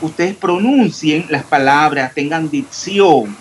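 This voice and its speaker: Male chemistry teacher, fairly high voice. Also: slow pace, slight background noise. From Panama. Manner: stresses clear diction, pronounces every s. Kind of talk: online class discussion.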